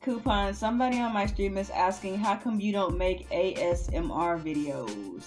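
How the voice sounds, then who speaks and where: A woman co-hosting a casual game chat, relaxed and hedging. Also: medium pitch, normal speed, faint music behind. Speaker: co-host. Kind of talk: casual chat about games.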